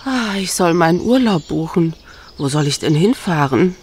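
Woman doing a dotty old granny, lowish voice, keeps mishearing stuff, some noise behind. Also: animated, normal speed, a little mellow, natural voice. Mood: chatty. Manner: mishears words, chuckling. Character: cheerful hard-of-hearing old grandmother. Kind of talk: children's radio play.

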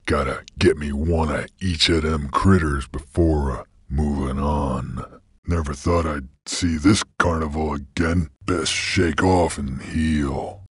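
An actor playing a gruff, laconic bounty hunter creature in a video game, with a gravelly Western American cowboy drawl. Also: male voice, extremely low pitch, dry recording, clean studio sound, heavily acted. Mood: gruff, musing. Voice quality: gravelly, raspy.

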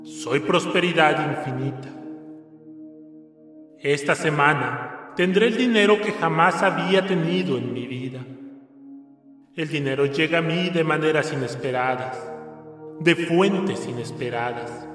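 A man leading a slow recited prayer, declaiming affirmations in a solemn, measured way. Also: fairly high pitch. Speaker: prayer leader. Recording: reverberant hall with soft music.

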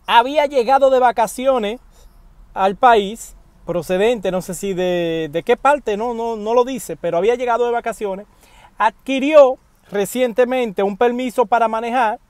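A man's voice: very high voice, clean tone, no echo. A man, giving casual news commentary to camera from inside a parked car, speaking animatedly and appealing directly to his viewers. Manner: animated, addresses viewers directly, emphatic pleas. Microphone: wired earphone mic.

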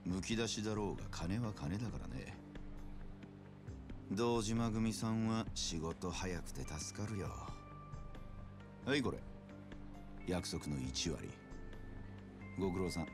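A middle-aged loan shark in a game cutscene. He speaks in a low voice with an easy, patronizing manner. Music runs underneath. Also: slow, long pauses. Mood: smug, easygoing. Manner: casual, condescendingly generous.

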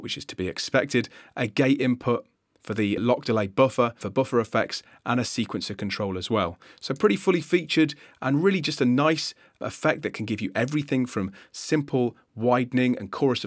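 A man's voice: mid-range voice, dry recording. A man giving an explanatory, conversational screencast tutorial narration as an instructor, recorded on a close voice-over mic.

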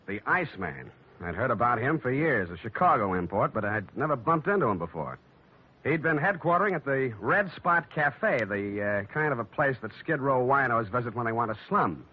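Casting: an unflappable wisecracking detective in 1940s radio noir, dry delivery, lo-fi recording. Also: male voice, mid-range voice, normal speed, husky. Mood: wry narration. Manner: deadpan, wisecracking. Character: wry, unflappable hard-boiled male private detective. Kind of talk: 1940s radio acting with first-person narration. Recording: dull vintage radio recording.

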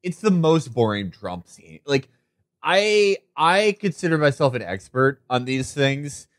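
A man's voice: mid-range voice, sing-song, measured pace, no echo, clean studio sound. An American man co-hosting a casual political comedy podcast, ranting with plenty of profanity. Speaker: podcast co-host.

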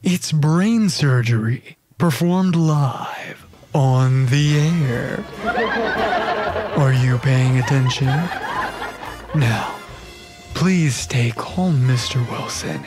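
A man playing a gleefully sinister radio show host in dark comedic audio theatre, theatrical and mock-soothing. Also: medium pitch, extremely slow, music in the background. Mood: showy.

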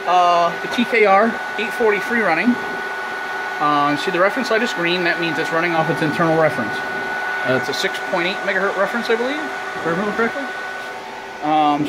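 A man giving a casual hands-on demonstration of technical equipment as a hobbyist, explaining and thinking aloud as he goes. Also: sits high. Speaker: amateur radio hobbyist giving an equipment tour. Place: small indoor equipment room with radio racks. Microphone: handheld camera or phone held by speaker. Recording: loud fan and ventilation equipment noise.

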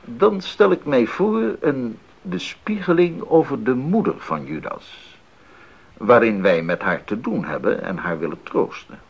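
An actor playing an earnest, enthusiastic Baroque composer in a 1980s radio drama, speaking deliberately and expressively with thoughtful pauses. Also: male voice, mid-range voice, sing-song, gravelly, breathy edge, heavily acted. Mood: earnest, explaining. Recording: muffled 1980s radio recording.

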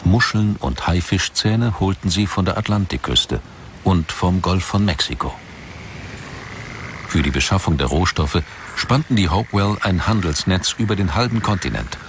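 A man's voice: deep voice, animated, normal speed, background noise. A man reading scripted documentary narration as the narrator. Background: music behind the voice.

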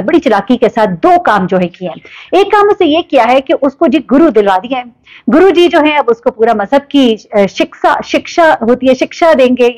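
A woman, commenting to camera in a vlog with animated, ironic storytelling. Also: sits high. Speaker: vlogger. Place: living room indoors.

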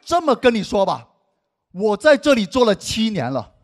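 A man's voice: sits high, big pitch swings, normal speed, very noisy, voice pushed up. A man on stage putting on a brash, cocky market stall boss giving a hard sell. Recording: live stage mic with audience laughter.

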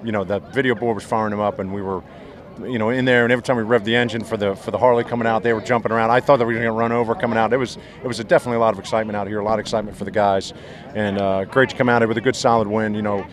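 Fast-talking, lower-voiced football coach. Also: male voice, steady tone. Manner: fast, rambling, many restarts. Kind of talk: postgame interview. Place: outdoors on a football field. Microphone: handheld mic held by interviewer.

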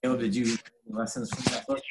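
A man's voice: mid-range voice, huge pitch swings, quick pace, creaky, over a noisy crowd. Middle-aged man hosting casually. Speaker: host of a children's bedtime story show. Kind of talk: casual friendly talk to viewers.

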